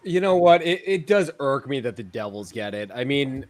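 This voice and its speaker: A man's voice: medium pitch, lively intonation, moderate pace, no echo. Wry male podcast co-host, dry sound. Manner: wry, rambling with fillers and chuckles. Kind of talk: casual sports podcast chat.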